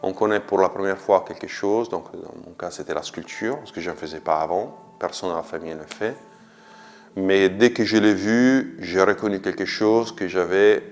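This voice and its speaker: A man, a sculptor speaking French in a documentary interview, thoughtful and hesitant, often repeating words as he searches for them. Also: low-pitched. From Italy. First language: Italian.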